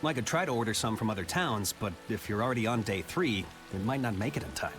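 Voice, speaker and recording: A helpful apothecary shopkeeper in a fantasy game. His voice sits fairly low and moves expressively, affable and conversational, over music. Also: lively intonation, fast, a bit bright. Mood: grave. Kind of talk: video game voice acting.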